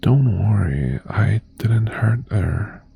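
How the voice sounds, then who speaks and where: A man playing an obsessive mafia boss ex-boyfriend in an intimate ASMR roleplay, softly threatening and possessive yet tender, in a gravelly half-whisper. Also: on the low side, expressive, heavily acted. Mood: coaxing, possessive. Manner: slow, deliberate, softly threatening.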